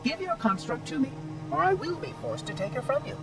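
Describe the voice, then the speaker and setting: An actor voicing a fussy, pompous robot caretaker in a video game cutscene, formal and precise, cheerfully menacing. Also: male voice, very high voice, very animated, a little fast, vocal fry, exaggerated voice. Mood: calmly insistent. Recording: music behind the voice.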